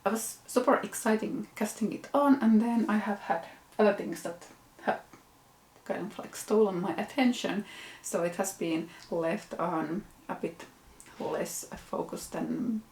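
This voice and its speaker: A woman's voice: mid-range voice, measured pace, a little mellow, echoey room. A Finnish woman with a Finnish accent, a knitting podcast host, chatting casually with frequent fillers and self-corrections. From Finland. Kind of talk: casual show-and-tell chat.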